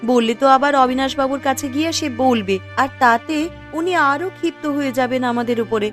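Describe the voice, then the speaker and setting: A woman's voice: high-pitched, fairly quick, soft background music, close to an everyday voice. A woman playing a distressed poor village wife in a cartoon story, speaking in a plaintive, aggrieved manner. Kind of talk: Bengali cartoon story acting.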